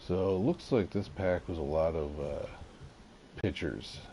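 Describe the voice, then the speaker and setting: A man casually commenting on his gameplay, slightly nasal from allergies, halting with lots of fillers. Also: dark tone. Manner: halting, many fillers, unhurried and low-key. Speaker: gaming video creator. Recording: audible background noise.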